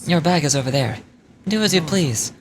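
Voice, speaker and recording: A woman's voice: sits low, expressive, normal speed, noisy background, voice pushed down. A woman voicing a stern, sanctimonious male religious judge from a video game, speaking formally and measuredly. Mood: cool, measured. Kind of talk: reading game dialogue aloud in character.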